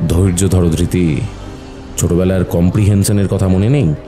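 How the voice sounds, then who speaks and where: A man acting a calm, patient, shrewd doctor turned detective in an audio drama, measured and mentoring. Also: low voice, faint music behind, close to an everyday voice. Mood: encouraging.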